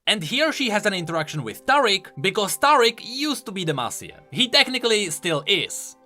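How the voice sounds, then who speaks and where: A man's voice: on the high side, bright, forceful tone. A man giving enthusiastic, emphatic explanatory commentary on video game lore.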